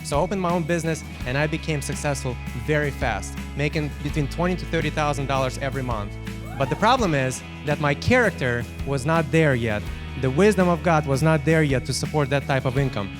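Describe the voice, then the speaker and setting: Ukrainian-accented man, fairly high voice, halting testimony, guitar music clearly underneath. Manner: halting, many fillers and restarts. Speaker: testimonial guest. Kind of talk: personal success testimony.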